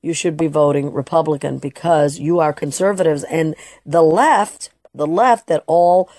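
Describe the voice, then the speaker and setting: A woman's voice: sits low, moderate pace, darkish tone, dry recording, clean studio sound. A woman hosting a political talk radio show, delivering an emphatic, opinionated monologue with restarts and bits of Spanish. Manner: slips into Spanish phrases now and then.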